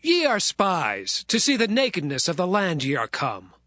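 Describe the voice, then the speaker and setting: A man's voice: high-pitched, wildly swooping pitch, moderate pace, sharp tone, no echo, studio quality, pitched above the performer's own voice, exaggerated voice. A man voicing a solemn young governor of ancient Egypt, declaiming in a dramatized Bible audio story. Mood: stern, accusing. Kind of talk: dramatized scripture reading.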